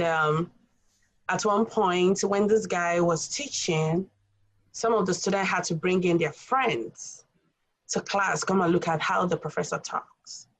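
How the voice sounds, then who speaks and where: A woman's voice: lowish voice, rough recording. A woman from Nigeria with a Nigerian accent, a college English instructor telling stories earnestly in a podcast roundtable, with many fillers.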